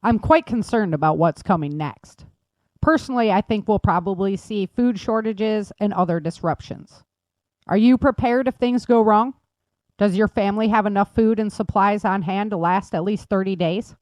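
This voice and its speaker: Female series host: medium-pitched, dry close sound, scripted promo delivery. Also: moderate pace, a little mellow, no echo. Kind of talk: scripted sponsor and promo reading.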